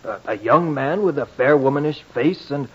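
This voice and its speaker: An actor playing a thoughtful, fussy doctor in an old-time radio drama, husky, hesitant and musing. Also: male voice, on the high side, normal speed. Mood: musing, reassuring. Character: thoughtful, fussy French country doctor, puzzled and reassuring. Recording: muffled old radio recording.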